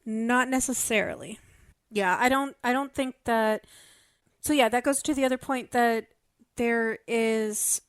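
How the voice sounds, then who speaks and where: A woman's voice: on the high side, a little slow, no room sound. Explaining woman in a dry, close recording. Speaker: podcast panelist. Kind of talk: casual explanatory discussion of a fiction podcast.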